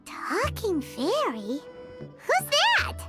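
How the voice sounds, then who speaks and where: A woman's voice: extremely high pitch, wildly swooping pitch, very slow, heavily acted. A woman playing a tiny, chirpy, childlike fairy companion in a video game. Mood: curious. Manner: chirpy, speaks of herself in third person. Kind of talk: video game character acting. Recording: background music behind voice.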